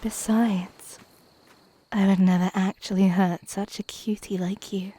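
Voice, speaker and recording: A woman playing a flirty, teasing mermaid in an intimate ASMR roleplay, coy and playful with breathy half-whispers. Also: medium pitch, level tone, unhurried, dark tone.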